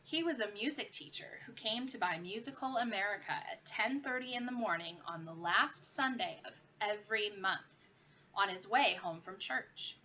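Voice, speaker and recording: A woman's voice: medium pitch, sharp tone. A woman, a teacher, reading a children's story aloud expressively. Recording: muffled lo-fi with clear background noise.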